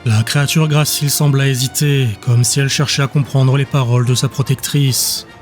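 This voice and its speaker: A man narrating a dramatic audiobook in a measured, literary storytelling manner. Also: mid-range voice, steady tone, soft background music.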